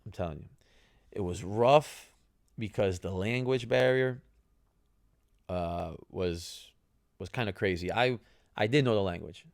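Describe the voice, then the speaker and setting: A young man with Italian as his first language tells his own story in a loose, chatty way, tossing in "you know" and "right?" as he goes. His voice sits low with a gravelly edge. The sound is dry and close, with no room around him. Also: a little slow, long pauses, dry recording. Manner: conversational, many fillers and tag questions. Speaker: podcast host, former soccer player. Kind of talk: casual storytelling.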